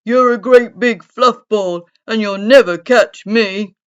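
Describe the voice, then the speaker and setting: A woman voicing a mischievous male squirrel in a children's story, loud and teasing. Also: fairly high pitch, slow, clean tone, dull audio, voice pushed up. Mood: gleeful teasing. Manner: loud, taunting.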